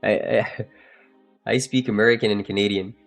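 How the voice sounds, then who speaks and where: A man's voice: lowish voice, steady tone, moderate pace, vocal fry, soft background music. A man with a French accent hosting a live trading stream, chatting casually and joking with viewers. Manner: joking, laughs often, trails off and restarts. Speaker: livestream trading host.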